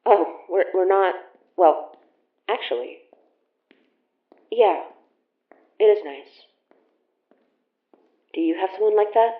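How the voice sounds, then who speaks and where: A caring young woman in an audio drama, teasing and casual. She speaks in halting, everyday phrases. The sound is dull and echoes around the room. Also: mid-range voice, animated. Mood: casual, sheepish. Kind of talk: naturalistic audio drama acting. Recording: roomy echo, dull lo-fi sound.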